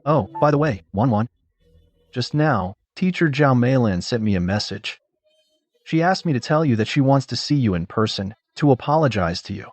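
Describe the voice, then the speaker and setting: Casting: man playing kindly official-teacher, husky, measured, dry radio drama sound. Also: medium pitch, animated, no echo, soft background music, close to an everyday voice. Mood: kindly, reassuring. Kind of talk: dubbed radio drama acting.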